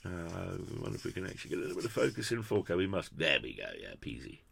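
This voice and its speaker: A man's voice: low voice, very animated, very fast, gravelly, fairly bright tone, slightly rough. A British man presenting a casual hands-on product review in a chatty, jokey, effusive way with quaint turns of phrase. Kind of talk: casual hands-on unboxing commentary.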